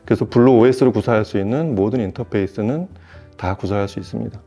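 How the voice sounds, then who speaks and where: A man giving a semi-formal, explanatory product review in a measured way, rephrasing as he goes. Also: on the low side, warm tone, soft background music. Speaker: audio equipment reviewer.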